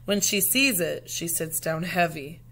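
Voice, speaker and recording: Female storybook reader, lower-pitched, teacherly, deliberate and expressive delivery for kids. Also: husky, poor audio. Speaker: teacher reading aloud. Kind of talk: expressive children's picture book read-aloud.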